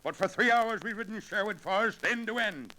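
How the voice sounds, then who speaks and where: A man's voice: sits high, brisk, pushed and bright. An actor playing a hearty, commanding nobleman with theatrical archaic speech in a 1940s radio adventure. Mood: jovial, hearty. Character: hearty, commanding nobleman on a hunt, disguised as abbot. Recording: noisy 1940s radio recording with clicks.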